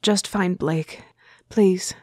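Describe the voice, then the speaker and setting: A woman's voice: lowish voice, fairly even intonation, very slow, darkish tone, no room sound, studio quality. A woman playing a remorseful, anxious young princess in a fantasy audio drama, earnest and restrained. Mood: guarded worry.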